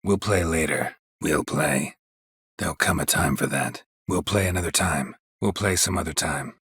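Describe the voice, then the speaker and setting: An actor playing a gruff, weary, sardonic monster hunter in a video game, with a husky, gravelly low American voice and a terse, deadpan delivery. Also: male voice, normal speed, no room sound, full character voice. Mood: dry, guarded.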